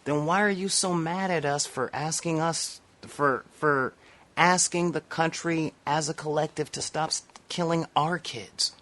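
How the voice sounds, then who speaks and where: A man's voice: a little high, measured pace, fairly bright tone. Emphatic middle-aged American man. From South Central Los Angeles, United States. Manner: emphatic, earnest, repeats phrases for stress. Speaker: vlogger. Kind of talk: unscripted opinion rant to camera.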